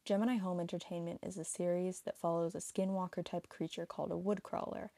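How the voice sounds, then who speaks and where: Low, mellow woman narrating an explainer. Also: level tone, fairly quick, vocal fry, no echo. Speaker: narrator of an online video.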